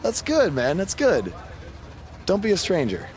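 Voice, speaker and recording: A man acting as an earnest young rookie pro wrestler in a video game cutscene, talking casually and haltingly with his buddy. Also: high voice, wildly swooping pitch, darkish tone, a little hiss or hum, exaggerated voice. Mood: excited, sincere. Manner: casual, halting, bro-ish talk.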